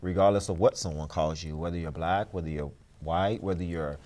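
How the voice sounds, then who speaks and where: A man's voice: low voice, brisk, darkish tone. A man speaking as an interview guest, explaining his views in an emphatic, earnest, motivational way. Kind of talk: conversational, motivational talk.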